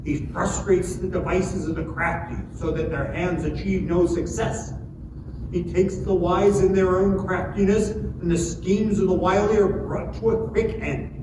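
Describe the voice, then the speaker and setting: Man acting a high-voiced, moralizing friend, echoing. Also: steady tone, measured pace, far from the mic. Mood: exalted. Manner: declamatory, preachy. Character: earnest moralizing friend admonishing a sufferer. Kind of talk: dramatic scripture reading. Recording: echoey hall from afar, noisy.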